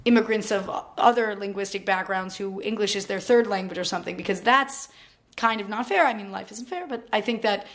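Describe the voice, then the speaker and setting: A woman's voice: medium pitch, fairly quick, a bit bright. A woman answering interview questions confidently, talking freely with "like" and "I mean". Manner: confident, talkative, uses "like" and "I mean". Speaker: graduate student guest.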